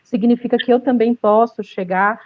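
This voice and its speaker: A woman's voice: a little high, steady tone, moderate pace, lo-fi sound. Enthusiastic woman moderating a panel. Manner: enthusiastic, warm framing of guests.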